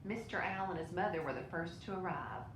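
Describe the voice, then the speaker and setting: A woman reading a children's picture book aloud in an expressive way. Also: a little fast. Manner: expressive, with brief asides to listeners. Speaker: storybook reader. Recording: roomy echo, clear low rumbling noise.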